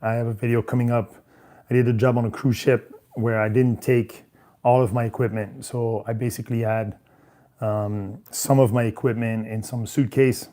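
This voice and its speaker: A man who is losing his voice, talking casually in a hotel room about his travel work as a cinematographer, halting with many ums. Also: medium pitch, flat delivery, warm tone. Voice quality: hoarse. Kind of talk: casual vlog talk while unpacking gear.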